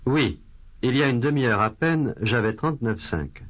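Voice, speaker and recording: A man voicing a patient who has a cold in a language course dialogue, speaking slowly and carefully, with repeated phrases. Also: medium pitch, lively intonation, husky. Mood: plaintive. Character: adult male patient with a cold. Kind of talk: scripted language drill dialogue. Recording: dull lo-fi course tape.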